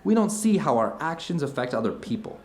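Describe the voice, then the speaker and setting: Man playing an earnest, animated philosophical dinner companion. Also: fairly high pitch, brisk. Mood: urgent, philosophical. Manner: emphatic, searching, asks rhetorical questions. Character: earnest, philosophical man telling anecdotes over dinner, urging his friend. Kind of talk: naturalistic audio drama dialogue.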